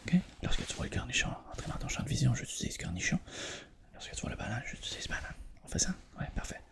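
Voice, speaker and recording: A person whispering softly in an ASMR eye exam roleplay, gently checking in with short questions. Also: mid-range voice, big pitch swings, fast, vocal fry. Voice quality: whispered, soft-spoken. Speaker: ASMR creator playing an eye examiner.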